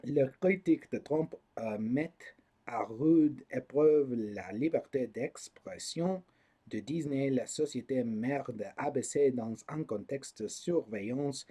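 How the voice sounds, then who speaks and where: A man reading news aloud in French as a non-native speaker, adding halting commentary with many fillers and frequent mocking laughter. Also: medium pitch, mellow, breathy edge. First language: not French. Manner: laughs often, swears mockingly at figures in the news.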